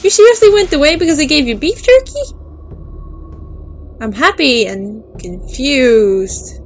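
A woman narrating her playthrough of a game, chatting, reading text aloud and reacting with quick startled exclamations. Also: high-pitched, animated, a little hiss or hum. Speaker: gaming video host.

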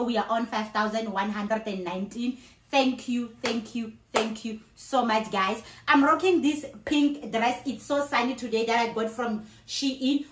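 High-voiced South African woman vlogging. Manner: excited, expressive. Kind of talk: casual vlog chat mixing in another language. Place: living room and kitchen at home.

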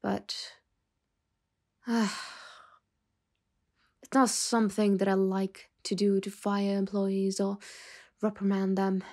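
A woman playing a stern, weary boss in an ASMR roleplay, speaking in a measured way with sighs and hesitant pauses. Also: medium pitch, level tone, darkish tone. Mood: weary, softening. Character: stern, weary female mafia boss scolding an employee. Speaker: ASMR voice actor.

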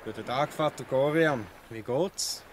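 A man's voice: mid-range voice, a little slow, a little hiss or hum, lightly acted. A man playing a dutiful, polite but hesitant policeman in a Swiss German dialect radio play. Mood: polite, awkward. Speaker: actor in an audio drama.

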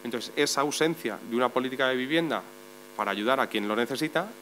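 A man's voice: medium pitch, fast talker, fairly bright tone, rough recording. A man speaking on a debate panel, explaining step by step and posing rhetorical questions. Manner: explanatory, builds points with lists and rhetorical questions. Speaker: journalist on a panel. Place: meeting room. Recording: faint mains hum.

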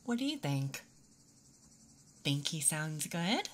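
A woman doing a casual ASMR roleplay, talking softly and relaxed, drifting into whispers. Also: sits low, slow, heavy background noise. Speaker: ASMR performer. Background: wooden toy sounds, faint ambience.

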